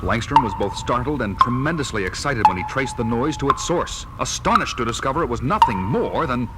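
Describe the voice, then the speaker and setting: An actor playing a grave, composed masked crime fighter in a superhero audio drama. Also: male voice, mid-range voice, expressive, brisk, lightly acted. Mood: measured storytelling. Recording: loud dramatic music underneath.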